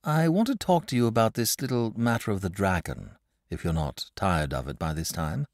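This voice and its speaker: A man voicing an eager, excitable young village boy in a storybook reading, pleading and high-pitched. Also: moderate pace, dry recording, studio quality. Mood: polite.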